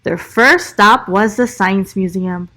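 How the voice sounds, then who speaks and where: A woman's voice: medium pitch, slow, bright, forceful tone. A woman teacher reading a children's storybook aloud expressively, with encouraging little asides to young listeners.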